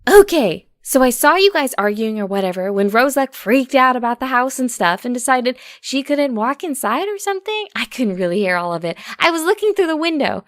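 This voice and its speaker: A woman's voice: high-pitched, sing-song, brisk, hardly any pauses, no room sound, clean studio sound. A woman voicing a frantic, gossipy young female pony in a storybook reading, rambling breathlessly in run-on sentences. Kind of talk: audiobook character voice.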